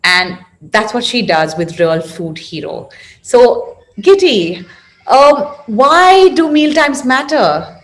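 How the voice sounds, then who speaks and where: A woman's voice: a little high, big pitch swings, slow. A woman hosting an interview, speaking in a conversational, welcoming way as she introduces things. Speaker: interview host, founder of a parenting series.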